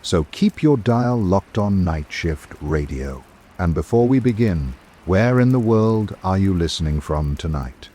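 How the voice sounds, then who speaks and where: Deep-voiced male radio drama narrator. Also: expressive, a little slow, warm tone. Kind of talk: scripted old-time radio narration.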